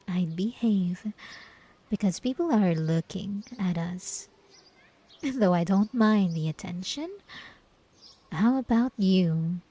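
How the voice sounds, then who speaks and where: A woman's voice: medium pitch, animated, mellow. A woman playing a possessive, gaslighting ex-girlfriend in an ASMR roleplay, coy and mock-innocent with a sweet menace, speaking straight to the listener. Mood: quietly threatening. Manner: coy, teasing, mock-innocent, drawn-out pet names.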